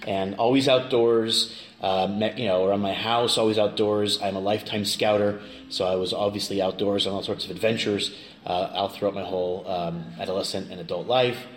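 A man's voice: lowish voice, fairly even intonation, moderate pace. A middle-aged American man from Kansas chatting casually and jokily as an interview guest. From Kansas, United States. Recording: roomy, clearly noisy location recording.

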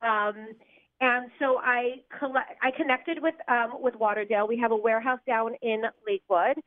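A woman's voice: a little high, fairly even intonation, moderate pace, dry recording. A woman calling in as a guest on a radio show, friendly and hesitant with many fillers, heard over a phone line. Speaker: guest, business owner.

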